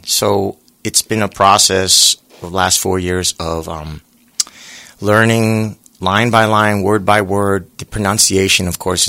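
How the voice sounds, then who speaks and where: Man, gravelly, lowish voice, casual rambling interview talk, many ums. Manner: relaxed, rambling with many fillers and restarts. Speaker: singer guest.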